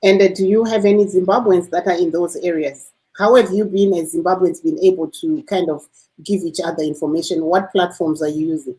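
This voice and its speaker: Mellow-voiced female radio interviewer. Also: fairly low pitch, fairly even intonation, low-quality recording. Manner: measured questioning with uh fillers. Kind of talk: broadcast interview.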